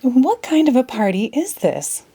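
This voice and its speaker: A woman's voice: high voice, sing-song, measured pace, warm tone, slight background noise, pitched above the performer's own voice, heavily acted. A woman voicing a polite, adventurous young girl in a storybook, earnest and exclaiming. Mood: puzzled, protesting.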